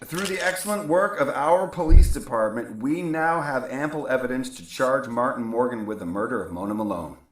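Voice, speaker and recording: An actor playing a corrupt, blustering mayor in an audio drama, with a bullying, pompous manner. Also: male voice, mid-range voice, moderate pace, few pauses, natural voice, poor audio. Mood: pompous, self-righteous. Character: corrupt, blustering, foul-mouthed male mayor, scheming and bullying.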